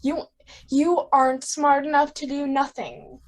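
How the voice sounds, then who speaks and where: A woman's voice: high-pitched, fairly even intonation, measured pace. A woman playing a bratty, defiant kid in an old-time radio comedy, sulky, demanding and blunt. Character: bratty, defiant kid named Freddy with a blowgun.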